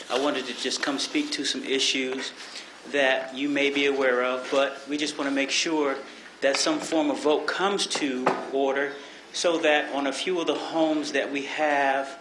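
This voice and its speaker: A man with a raspy voice, an operations director, addressing a city council haltingly with many fillers. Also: mid-range voice, echoey room, noisy background. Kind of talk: public presentation. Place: council chamber.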